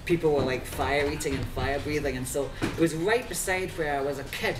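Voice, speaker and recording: A man's voice: on the high side, a little fast, music in the background. Chatty Scottish-born man in an echoey room. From Scotland. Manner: animated, talks with lots of fillers. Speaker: fire performer guest. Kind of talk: casual interview. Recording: echoey room from far off with crowd noise.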